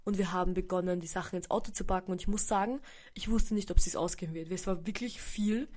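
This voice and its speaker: A young woman with an Austrian German accent rattles along quickly in a casual solo podcast. Her nose is blocked from a cold, so her voice sounds congested, and she rambles chattily, dropping in English words here and there. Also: lowish voice, fairly even intonation, a little mellow. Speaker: podcast host. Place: indoors at home.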